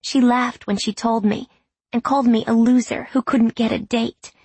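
A woman voicing an earnest teenage high school girl confiding in her diary in an audiobook, self-mocking and candid. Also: steady tone, normal speed, darkish tone, husky, no echo. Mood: chatty, confiding. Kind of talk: audiobook reading of diary entries.

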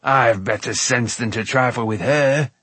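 An irascible little dwarf stuck in the body of a frog, played by a male audiobook reader. He snaps and blusters with scorn in a storybook style. The voice is husky and recorded very dry. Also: fairly high pitch, lively intonation, a little slow, a bit bright, no echo, exaggerated voice. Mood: urgent, grumbling. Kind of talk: audiobook character voice.

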